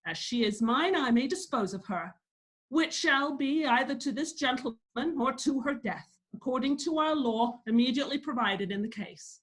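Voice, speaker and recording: A woman's voice: high-pitched, animated, normal speed, low-quality recording. A woman playing a stern, indignant old father in a declamatory Shakespearean table read. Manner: declamatory, emphatic listing. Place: remote video call reading. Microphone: video call.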